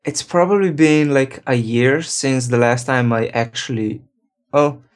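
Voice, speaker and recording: A man from Slovenia, a programmer, answering interview questions in a relaxed way, thinking aloud and correcting himself. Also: medium pitch, measured pace. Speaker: programmer and guest.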